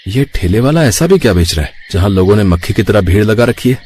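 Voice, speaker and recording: A man's voice: on the low side, animated, fast, darkish tone, breathy edge. A man voicing a weary, plaintive young vegetable seller in a Hindi moral-story cartoon. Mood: dismayed.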